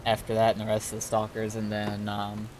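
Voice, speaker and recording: A man chatting casually on a game livestream, telling stories in a laid-back, halting way. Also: fairly low pitch, completely flat delivery, darkish tone, slight background noise. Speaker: game streamer. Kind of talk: casual livestream chat, recapping roleplay events.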